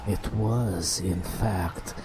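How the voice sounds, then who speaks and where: A man softly narrating a video game as an ASMR bedtime story, hushed and drawn out, with long pauses. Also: deep voice, fairly even intonation, very noisy. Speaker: host narrating gameplay. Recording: music behind the voice. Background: game music.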